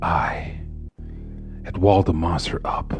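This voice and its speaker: Man playing a low-voiced, deranged gothic murderer. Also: lively intonation, slow, faint music behind, full character voice. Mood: grim, haunted. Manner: theatrical, formal, brooding, drops into hushed whispers. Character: deranged, smug murderer confessing his crime. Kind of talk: dramatic horror narration of a classic gothic tale.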